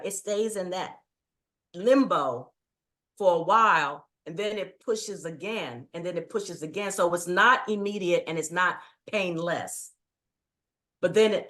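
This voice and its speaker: Middle-aged woman, low-ish voice, thoughtful and earnest storytelling. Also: a bit bright. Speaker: guest, writing coach. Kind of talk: casual interview chat.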